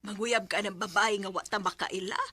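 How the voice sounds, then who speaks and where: A woman acting in a Cebuano-accented radio drama as a nosy, teasing mother with a sharp, husky voice. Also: medium pitch, fairly even intonation, moderate pace. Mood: teasing, probing.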